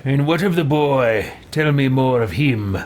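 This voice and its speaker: A man's voice: medium pitch, lively intonation, unhurried, darkish tone, slight background noise, close to an everyday voice. A man roleplaying a detached, calculating fantasy inquisitor in a video game, with terse, formal phrasing. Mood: cool, curious.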